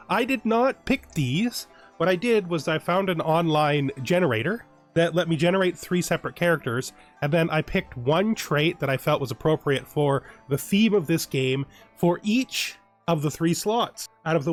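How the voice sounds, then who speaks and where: A man giving relaxed let's play commentary on a strategy game, thinking aloud and often restarting his sentences. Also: fairly high pitch. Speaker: gaming YouTuber.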